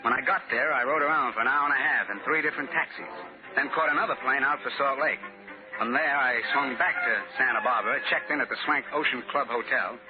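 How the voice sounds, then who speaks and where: A muffled vintage radio recording with prominent music. A male actor plays a wry American man on the run with a mid-pitched voice, telling his hard-boiled thriller story in clipped, world-weary phrases. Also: lightly acted. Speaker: actor playing narrator and lead. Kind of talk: old-time American radio thriller acting.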